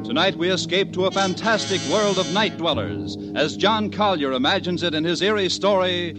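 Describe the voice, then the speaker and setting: A man's voice: sits high, normal speed, over loud music. An American man announcing formally in the style of 1940s network radio. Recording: vintage 1940s radio broadcast.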